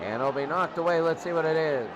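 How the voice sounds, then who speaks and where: A man's voice: a little high, fast talker, highs cut off. A man doing live play-by-play radio commentary on a football game in short, clipped phrases. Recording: loud crowd noise, noisy broadcast. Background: roaring stadium crowd.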